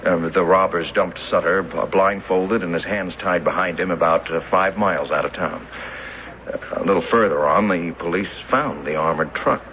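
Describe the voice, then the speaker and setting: An actor in a radio drama playing a mild, earnest schoolteacher who speaks in a halting, hesitant way. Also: male voice, low-pitched, sharp tone. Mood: halting, sheepish. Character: earnest mild schoolteacher, former bank teller, recalling a robbery. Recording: dull hissy old radio recording.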